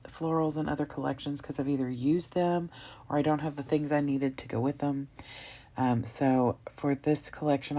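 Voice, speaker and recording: A woman hosting a scrapbooking video, talking through her process in a chatty, rambling way with a slightly hoarse, froggy throat. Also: low-pitched, warm tone. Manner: chatty, rambling, with frequent ums and self-corrections. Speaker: craft video host. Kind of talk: casual narration of a scrapbooking process.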